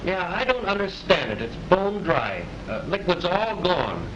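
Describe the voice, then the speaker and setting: An actor playing an impatient, sarcastic police lieutenant who bosses people around, in 1930s film style. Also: male voice, high-pitched, very animated, a little slow, dull audio. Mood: puzzled. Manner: gruff, scornful and bossy. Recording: hissy old 1930s film soundtrack.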